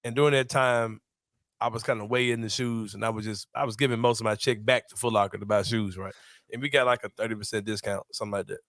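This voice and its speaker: A man in his forties from Memphis, hosting a sports podcast in a relaxed, slangy, laughing way. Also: lowish voice, quick pace, dry recording, clean studio sound. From Memphis, USA. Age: 40s. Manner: laid-back, slangy, laughs often. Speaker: podcast host. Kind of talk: casual sports podcast chat.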